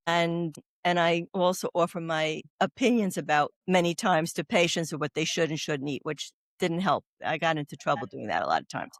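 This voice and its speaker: A woman's voice: fairly low pitch, a bit bright, dry recording, clean studio sound. An older woman from New York with a Brooklyn accent, a chatty, self-deprecating guest telling stories in a casual interview. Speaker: guest, oncology doula.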